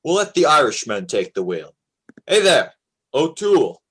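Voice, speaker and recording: An actor playing an earnest, confident young sea captain in an old-time radio play. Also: male voice, on the high side, very animated, normal speed, a bit bright, husky, full character voice. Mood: commanding. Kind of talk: old-time radio play acting, narrating his log.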